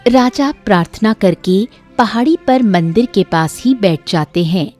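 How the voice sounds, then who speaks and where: A woman's voice: medium pitch, lively intonation, unhurried, a little mellow, soft background music. A woman narrator reading a scripted moral story for children.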